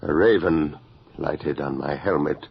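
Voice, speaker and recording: An actor playing a brutal, possessive warrior lord, mocking and commanding, in a 1980s radio horror drama. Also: male voice, very deep voice, a little slow, creaky, slightly rough, heavily acted. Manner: mocking, commanding, sardonic chuckles. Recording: muffled old radio drama with hiss.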